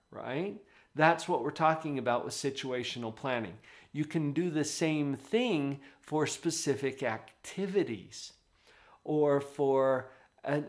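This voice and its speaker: Conversational man giving parenting advice. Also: a little high. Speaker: parenting coach. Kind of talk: direct-to-camera parenting advice. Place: office.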